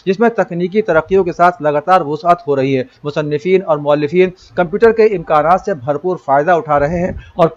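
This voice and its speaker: A man reading a scripted news bulletin in a formal, measured manner. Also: a little high, dull audio. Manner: formal, measured read delivery. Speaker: news reader.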